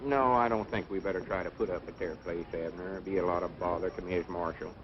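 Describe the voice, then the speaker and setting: A man's voice: deep voice, fairly even intonation, quick pace, noisy background, natural voice. Man playing a bossy Southern country fellow, dull old recording. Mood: know-it-all. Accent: rural American South. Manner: folksy, bossy, scolding. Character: self-important small-town country man, exasperated with his friend. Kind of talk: old-time radio comedy acting.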